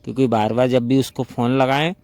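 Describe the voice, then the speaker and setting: Man acting a mellow, chatty young brother-in-law. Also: mid-range voice, no room sound, clean studio sound, natural voice. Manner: conversational, gossipy, rambling storytelling. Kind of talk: staged phone-call role-play, casual chat.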